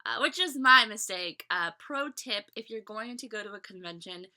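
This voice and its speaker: A young woman in her twenties talks into her webcam from a small bedroom. Her voice is very bright and sharp, though a little stuffy from a cold. She rambles in a chatty way, full of fillers and restarts. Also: medium pitch, lively intonation, moderate pace. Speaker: vlogger reviewing a fan convention. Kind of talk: casual talk to camera.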